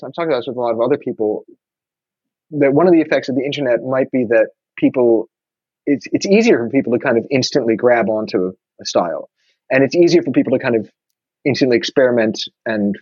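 A man's voice: mid-range voice, slightly rough, dry recording, muffled recording. A man, talking thoughtfully in a casual conversation with many restarts. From New York. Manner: reflective, many restarts and fillers.

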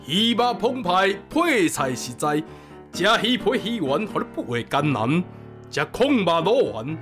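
A man from Tainan with a Taiwanese accent and a slightly high voice. He talks casually as on a podcast, repeating phrases to drive them home. Music plays clearly behind him. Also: fairly bright tone. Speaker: podcaster guest. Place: coffee shop.

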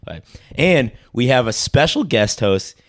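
A man hosting a podcast from his living room, chatting casually with friends, laughing often and hoarse from losing his voice. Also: medium pitch, sing-song, a little slow, fairly bright tone. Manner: laughing often, rambling storytelling. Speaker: podcast host. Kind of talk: casual chat.

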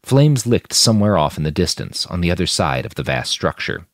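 A man narrates dramatic fiction in a low voice that swings widely in pitch. The sound is dry and close, and his delivery is expressive and emphatic. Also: fairly quick, no room sound. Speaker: author narrating own fiction.

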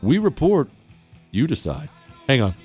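A man's voice: medium pitch, sing-song, a little slow, music in the background. A man from Ohio hosting a radio talk show, opinionated, joking and sarcastic. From Ohio, USA. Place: radio studio.

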